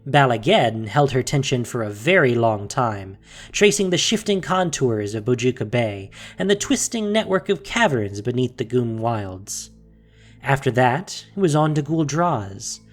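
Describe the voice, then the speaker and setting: A narrator reading a scripted fantasy story in a measured, dramatic way. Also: medium pitch.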